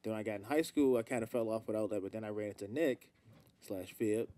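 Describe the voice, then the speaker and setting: A man's voice: lowish voice, fast, dark tone. A young man in his twenties, a rapper guest, talking casually with loose slang on a group podcast in a living room, holding a mic. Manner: laid-back, loose slang, storytelling. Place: living room with large couch. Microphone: handheld mic.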